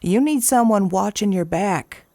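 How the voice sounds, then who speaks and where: Folksy horse lady, creaky fry in her voice, coaxing and wry, super dry recording, audio drama. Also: medium pitch, lively intonation, unhurried, warm tone, dry recording. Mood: persuasive. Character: folksy, coaxing small-town horse owner, wryly affectionate. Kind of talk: naturalistic audio drama acting.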